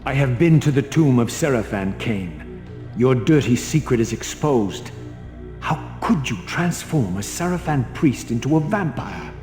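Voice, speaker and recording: An actor playing a bitter, defiant undead vampire wraith in a video game, with formal and disdainful delivery. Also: male voice, mid-range voice, expressive, measured pace, lightly acted. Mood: accusing. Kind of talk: dramatic video game acting. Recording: echoey hall reverb over music.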